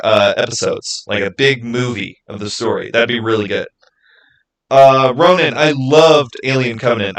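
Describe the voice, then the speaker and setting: A man hosting a livestream, talking informally and haltingly as he thinks aloud and answers his viewers. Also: mid-range voice, fairly bright tone, poor audio. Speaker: streamer hosting a live channel Q&A. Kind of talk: casual livestream chat, answering viewer comments.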